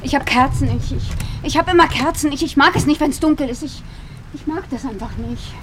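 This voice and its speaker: An actress in a radio drama playing an anxious, caring older sister with a guilty streak, speaking naturalistically and intimately. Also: female voice, sits high, a little fast, noisy background, natural voice. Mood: nervous. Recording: music behind the voice. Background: music.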